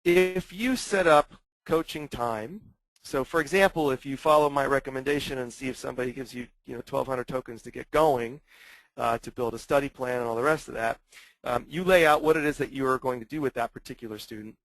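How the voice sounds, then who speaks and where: A man's voice: mid-range voice, fairly quick, fairly bright tone, dry recording, rough recording. A man in his fifties hosting a webinar question-and-answer session, speaking hesitantly with many ums and restarts. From born into a German family, raised in the US. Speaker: webinar host running a coaching pilot.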